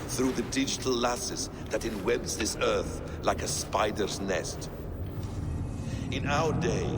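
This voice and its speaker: An actor playing an enigmatic, courtly ancient man in a video game, speaking in a measured, theatrical and suave manner. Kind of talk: dramatic video game cutscene acting. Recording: loud ambient rumble behind the voice.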